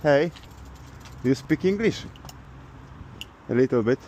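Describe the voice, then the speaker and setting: A man from Poland with a Polish accent, talking casually in halting, simple non-native English as a vlogger. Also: mid-range voice, expressive, a little mellow, slight background noise. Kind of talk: casual vlog talk.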